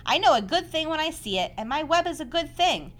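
A woman voicing a calm, wise female spider in a storybook, speaking in a brisk, precise, gently instructive and protective way. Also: high voice, voice pushed up. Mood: self-assured.

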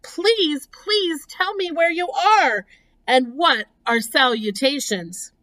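A woman voicing an earnest, polite, excitable young male pig in a storybook. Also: medium pitch, slow. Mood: desperate, pleading. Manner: formal, pleading, excitable.

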